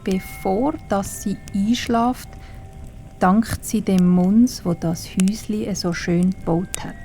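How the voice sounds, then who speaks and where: A woman with a Swiss German accent narrates a children's bedtime story in a hushed, soothing, breathy way with long pauses. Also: mid-range voice, steady tone, faint music behind.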